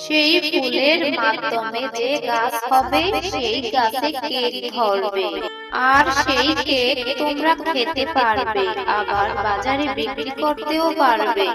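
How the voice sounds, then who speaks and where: Lady as a magic talking tree, high voice, slow and earnest cartoon acting, loud music behind. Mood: earnest, reassuring. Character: magical talking tree, female, benevolent but cunning.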